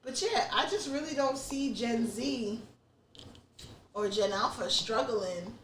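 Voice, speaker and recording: A woman's voice: a little high, expressive, slow, a bit bright, echoey room, recorded from a distance, a little hiss or hum, low-quality recording. Off-mic woman hosting casual chat. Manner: candid, swears freely, thinks aloud. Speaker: podcast host.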